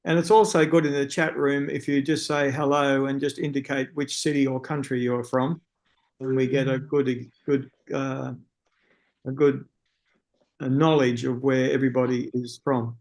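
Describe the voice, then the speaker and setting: A man with an Australian accent opens an online symposium over a video call. His voice sits at an ordinary middle pitch. He talks in a friendly way, with plenty of "uh" fillers and restarts. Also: little pitch movement, moderate pace, a little mellow. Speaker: webinar host. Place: speaking from a room in a city at night.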